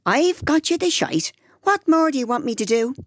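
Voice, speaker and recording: An actress playing a blunt, foul-mouthed, scheming Irish wife with a Dublin accent in a comedy radio drama. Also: female voice, high-pitched, animated, moderate pace, dry recording, studio quality. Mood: indignant, pushy.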